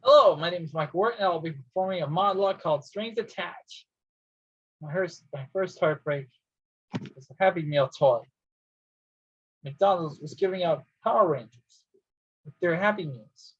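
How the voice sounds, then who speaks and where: A man performing a comic storytelling monologue with a halting, theatrical delivery. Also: high voice, fairly bright tone, husky. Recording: dull lo-fi sound.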